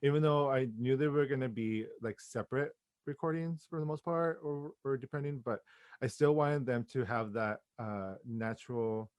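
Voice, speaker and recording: A man's voice: mid-range voice, normal speed, darkish tone, smooth, dry recording. A man chatting casually and effusively in an interview as a playwright and director, with informal slangy phrasing.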